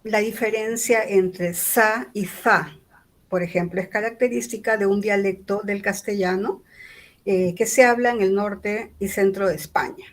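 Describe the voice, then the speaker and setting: A lecturer from Peru speaks with a Lima accent. She explains each point patiently, often pausing on fillers and tag questions to check that her listeners follow. Her voice sits at an ordinary middle pitch for a woman. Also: steady tone. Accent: Lima Spanish. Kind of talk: university lecture.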